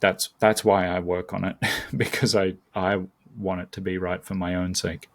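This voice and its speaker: A man's voice: deep voice, level tone, moderate pace. A man speaking as a podcast guest, talking casually and thoughtfully, searching for words aloud. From not American. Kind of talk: casual conversation.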